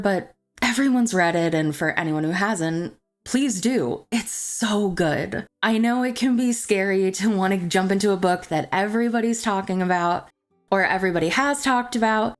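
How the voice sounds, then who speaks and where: A woman hosting a book vlog, chatting in a semi-scripted, enthusiastic way. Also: medium pitch. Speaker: book vlogger, host. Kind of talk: casual chat to camera, semi-scripted book review.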